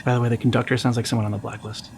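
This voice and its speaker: A man's voice: medium pitch, flat delivery, rapid-fire, background noise. A man giving casual reaction commentary on a video game as host of the video.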